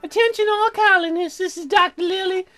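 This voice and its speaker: A brash female doctor making announcements in a visual novel game. The voice is very high and sharp, with crude, unbothered delivery. Faint music plays behind it. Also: brisk. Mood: brash, announcing.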